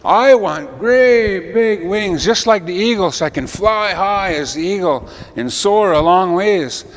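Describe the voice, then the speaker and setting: A squeaky, eager owl. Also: male voice, measured pace, in a large hall. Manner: high, excited, insistent calling out. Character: eager excitable male owl.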